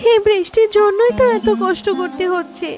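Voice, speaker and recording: A desperate young village mother from a cartoon, acted by a woman, speaking in an extremely high voice. She is plaintive and pleading, poor and full of worry, with music playing underneath. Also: fairly quick. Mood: frightened pleading. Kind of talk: cartoon dialogue. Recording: music behind the voice.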